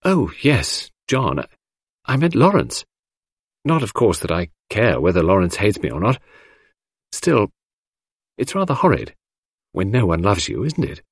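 A man's voice: lowish voice, very animated, a little slow, long pauses, breathy edge, dry recording, studio quality, full character voice. A man doing a wistful young woman, lilting. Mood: plaintive. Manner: lilting, rising girlish tone.

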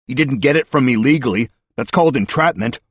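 Man acting a loud, desperate cornered middle-aged man. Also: mid-range voice, sing-song, moderate pace, creaky, breathy edge, no echo, pitched above the performer's own voice, full character voice. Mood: indignant. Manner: loud, blustering, breaking into begging.

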